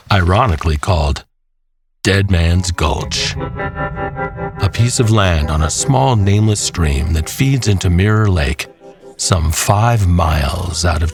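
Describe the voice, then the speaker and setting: A man narrating a scripted horror western tale in a measured, deliberate way, with music behind him. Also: low voice.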